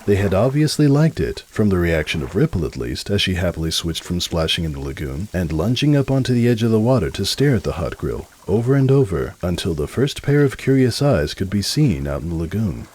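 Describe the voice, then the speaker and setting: A man narrating a scripted story as a dramatic reading, with a deep, husky voice and expressive delivery. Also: fairly quick, few pauses.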